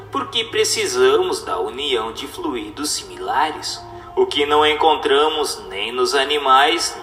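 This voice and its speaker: A man giving a scripted, solemn reading in a formal, didactic and deliberate manner, as a narrator. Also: on the high side, sharp tone. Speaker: narrator reading a spiritist text. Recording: echoey reverberant voice with music. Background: music.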